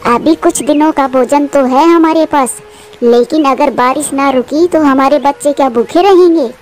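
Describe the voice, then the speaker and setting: A woman's voice: high voice, moderate pace, a little mellow, lightly acted. A woman voicing an anxious, devoted mother bird in a moral cartoon, earnest and pleading. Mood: worried. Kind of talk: Hindi moral cartoon dubbing.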